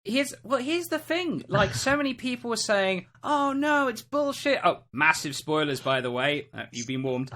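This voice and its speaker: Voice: medium pitch, sing-song, normal speed, few pauses, fairly bright tone. A podcast co-host talking casually and emphatically about games, opinionated and dotted with conversational asides. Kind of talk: casual video game discussion.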